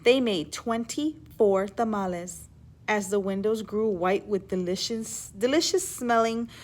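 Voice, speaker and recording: A woman reading a children's story aloud in an expressive, teacherly way, pausing to explain words and ask questions. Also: mid-range voice. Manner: animated, emphatic reading with hushed asides.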